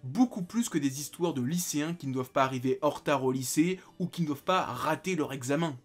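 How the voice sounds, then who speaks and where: A man in his late twenties reviewing a manga in a casual video, talking animatedly and breaking into excited exclamations. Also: fairly high pitch, a little fast, faint music behind. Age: 20s.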